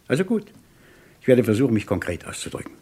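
An actor playing a menacing gangster boss in a German radio crime play, mockingly courteous and smooth-talking with a gravelly voice. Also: male voice, medium pitch, big pitch swings, mellow. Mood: sly persuasion. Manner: ironically polite, smooth-talking, unhurried menace.

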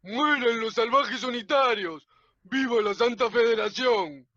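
A man's voice: very high-pitched, unhurried, sharp tone, no room sound, dull audio, exaggerated voice. A man playing a cruel, taunting member of a mob in a radio play. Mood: fanatical cheering.

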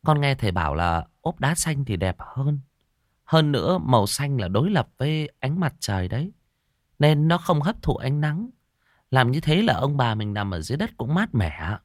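A man's voice: mid-range voice, big pitch swings, moderate pace, no room sound, clean studio sound, voice pushed up, close to an everyday voice. A man voicing a scheming young woman who speaks in a polite, ingratiating way. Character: sly, smooth-talking scheming young woman.

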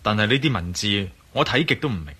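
A man's voice: on the low side, lively intonation, quick pace, bright, forceful tone, husky, full character voice. A man playing a confident, persuasive adventurer and investigator in a radio drama, earnest and insistent. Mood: earnest inquiry. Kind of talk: Cantonese radio drama acting.

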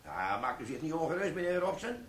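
An actor playing a sly, boastful older army sergeant in a radio play, stammering now and then. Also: male voice, a little high, very animated, fast, roomy sound, a little hiss or hum. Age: 60s.

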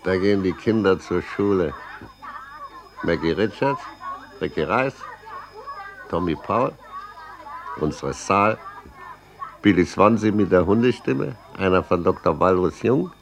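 An actor playing an old blind sea captain in a radio play, giving a chuckling, gossipy running commentary on the street. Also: male voice, low-pitched, animated, measured pace, gravelly, pauses often, heavily acted.

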